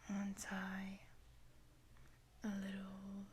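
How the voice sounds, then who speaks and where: A woman voicing a friendly, curious lamia in a roleplay, in a soft breathy whisper, teasing and affectionate, taking her time. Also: medium pitch, completely flat delivery, drawn-out words, slight background noise. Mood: teasing, playful. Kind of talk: whispered roleplay audio addressed to the listener.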